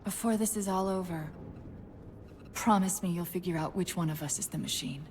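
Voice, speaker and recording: A woman voicing a sharp-witted, loyal female AI companion in a game cutscene, speaking in a precise, wry way. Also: on the low side, normal speed, slight background noise, natural voice. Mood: wistful. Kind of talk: video game cutscene acting.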